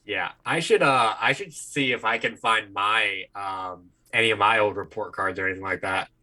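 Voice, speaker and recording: A man's voice: lowish voice, moderate pace, bright, forceful tone, poor audio. An American man co-hosting a podcast, chatting casually and telling stories in a blunt, crude, joking way. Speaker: podcast co-host. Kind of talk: casual chat, profane storytelling.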